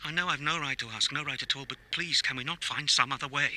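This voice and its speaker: A man's voice: medium pitch, fast talker, bright, forceful tone, no echo. A British actor playing a cowardly, panicky middle-aged professional man in a radio drama, pleading hesitantly and repeating himself. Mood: desperate, pleading. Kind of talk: naturalistic radio drama acting.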